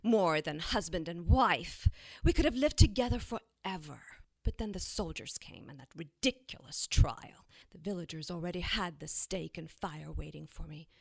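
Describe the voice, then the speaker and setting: A woman's voice: on the low side, moderate pace, creaky, hardly any pauses, no echo. An actress playing a cruel, mocking, centuries-old witch in an audio drama, taunting and theatrical as she draws out her words. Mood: bitter.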